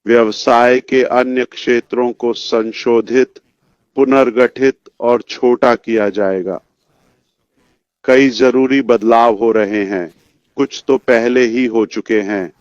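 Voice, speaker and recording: Man in his fifties, unhurried pace, informal company address, direct and rambling, muffled dry recording. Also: medium pitch, steady tone, no room sound. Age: 50s. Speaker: company chief executive giving an update. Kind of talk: informal motivational address to members. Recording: dull lo-fi audio, dry.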